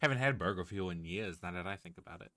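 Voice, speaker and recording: A man's voice: sits low, expressive, fast talker, fairly bright tone, no room sound, studio quality. A man chatting casually and giggly while live-streaming a game, reacting as he plays.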